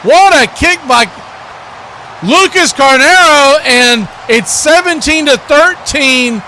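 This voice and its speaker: A man's voice: very high voice, animated, slow, bright, forceful tone, a little hiss or hum. A man doing live play-by-play football commentary as the announcer.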